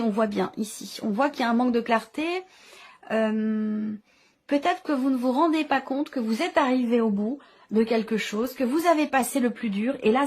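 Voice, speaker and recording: A woman's voice: on the high side, darkish tone. A woman giving an improvised tarot reading to viewers, explaining as she goes and often rephrasing as she searches for words. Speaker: tarot reader and channel host.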